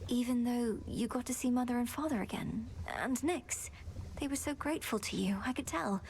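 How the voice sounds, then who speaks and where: A woman's voice: on the high side, a little mellow, lightly acted, poor audio. Game role: woman as dutiful young underworld princess, formal, composed, earnest; faint noise behind. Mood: earnest, respectful. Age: young adult. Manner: formal, measured, courteous diction. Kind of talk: video game voice acting.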